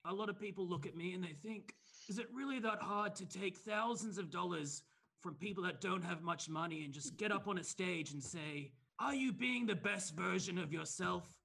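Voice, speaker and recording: A man's voice: high-pitched, normal speed, vocal fry, sharp tone, breathy edge, full character voice. A man playing a greedy, self-important motivational speaker who rambles earnestly. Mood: smug. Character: smug sleazy male motivational speaker, greedy.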